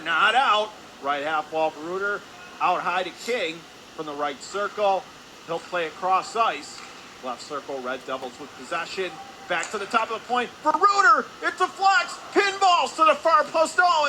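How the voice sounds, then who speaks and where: A man calling live ice hockey play-by-play for radio in clipped phrases, getting excited on scoring chances. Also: high voice, normal speed. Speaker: play-by-play announcer. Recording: clear background noise, arena ambience.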